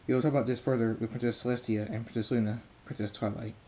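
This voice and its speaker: Guy doing a stern pony guard in armor, commanding and blunt, warm low tone. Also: flat delivery, fast, lightly acted, poor audio.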